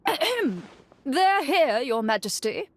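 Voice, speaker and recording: Courteous fantasy townsfolk, lively pitch. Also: female voice, slow, dry recording, full character voice. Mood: announcing. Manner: courteous, formal. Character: townsfolk of a fantasy kingdom, deferential and formal. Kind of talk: storybook role-play in a video game.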